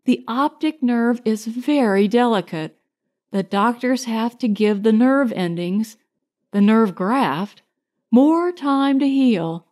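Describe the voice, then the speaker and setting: A woman voicing an affectionate, teasing woman who cares for a patient, gentle and reassuring, in audiobook dialogue. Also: on the high side, animated, slow pace.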